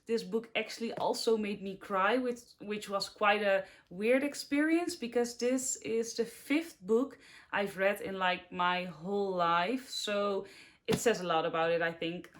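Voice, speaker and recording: A woman's voice: mid-range voice, a little slow. A woman in her twenties from the Netherlands with a Dutch accent, chatting casually and a little ramblingly about her favorite books in a vlog. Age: 20s. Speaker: book vlogger. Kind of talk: casual book review vlog.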